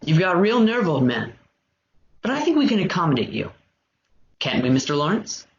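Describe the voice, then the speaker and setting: A man's voice: high voice, sing-song, normal speed. A man playing a menacing, contemptuous karate sensei in a table read of a film scene, with a hard, clipped delivery. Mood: threatening. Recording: dull, noisy home call audio.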